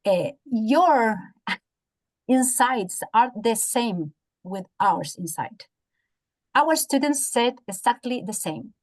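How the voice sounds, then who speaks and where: Slow-paced Chilean woman speaking halting English. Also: on the high side, lively intonation. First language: Spanish. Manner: halting, searching for words in non-native English. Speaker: university educator, audience member commenting. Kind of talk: spoken feedback in an online academic discussion. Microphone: video call.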